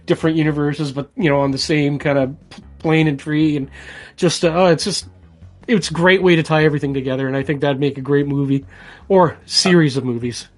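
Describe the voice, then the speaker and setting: A man's voice: fairly high pitch, steady tone, a little fast, soft background music. A middle-aged man co-hosting a podcast in casual, slangy, buddy-like chat. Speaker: podcast co-host. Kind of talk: casual chat about video games.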